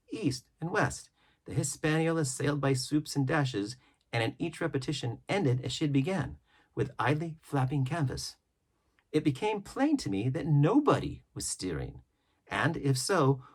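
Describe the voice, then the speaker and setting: A man reading a classic adventure novel aloud as an audiobook, with a dramatic, varied storytelling delivery and deliberate pauses. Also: on the high side, slightly rough. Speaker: audiobook reader.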